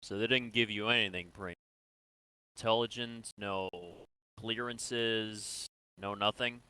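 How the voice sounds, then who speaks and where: A man playing a probing, businesslike military interrogator in an improvised sci-fi game role-play, speaking in a measured, matter-of-fact way. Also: on the low side, steady tone, pushed and bright, clean tone, rough recording. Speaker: role-player in an online game.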